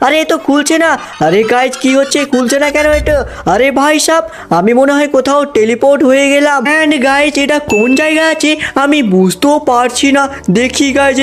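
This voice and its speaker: Man doing exclamatory gameplay commentary. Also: sits high. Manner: addresses viewers constantly, exclamatory. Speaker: gaming video host. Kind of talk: excited gameplay storytelling commentary.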